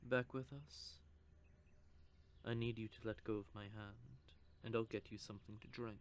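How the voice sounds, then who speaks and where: A man's voice: on the low side, steady tone, normal speed, a little hiss or hum, lightly acted. A man doing a smug, teasing vampire. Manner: teasing, smug, with soft whispered stretches. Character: teasing cocky male vampire, possessive and playful. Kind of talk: audio roleplay for listeners.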